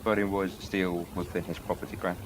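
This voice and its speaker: A man playing a lawyer in a roleplay courtroom, questioning a witness in a formal, measured way. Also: low voice, little pitch movement, noisy background.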